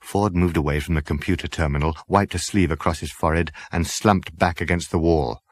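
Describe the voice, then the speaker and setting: A man's voice: deep voice, steady tone, brisk, no room sound. A man reading a comic science-fiction audiobook as a dramatic scripted narration.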